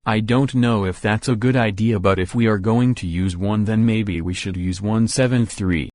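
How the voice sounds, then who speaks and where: A man's voice: lowish voice, moderate pace, darkish tone, no echo, studio quality, pitched above the performer's own voice, natural voice. A man voicing a cautious young male adviser who sounds hesitant and doubtful, a little away from the mic.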